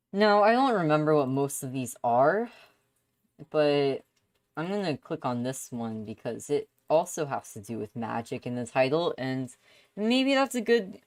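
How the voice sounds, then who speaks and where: A woman livestreaming visual novel readings, chatting casually in an excitable, exclamatory way. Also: low-pitched, no room sound. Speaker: streamer.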